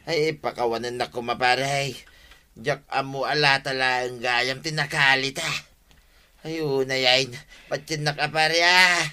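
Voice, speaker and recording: An actor with an Ilocano accent playing a defensive, sheepish village husband in a radio comedy drama. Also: male voice, medium pitch, steady tone, unhurried, pushed and bright, smooth. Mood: apologetic.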